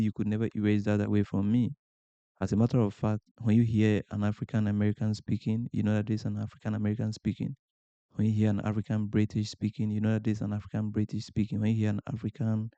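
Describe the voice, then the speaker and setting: A man's voice: fairly low pitch, warm tone, dry recording, dull audio. A man from Ghana with a Ghanaian accent, hosting a podcast and giving earnest motivational advice to camera, asking rhetorical questions and repeating points for emphasis. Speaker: podcast host.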